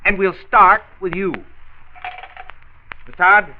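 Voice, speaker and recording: An actor playing a hot-tempered, driven factory owner in a 1940s radio drama, blustering and emphatic. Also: male voice, high-pitched, steady tone, takes it slowly. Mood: urgent, insistent. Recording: muffled, noisy 1940s radio transcription.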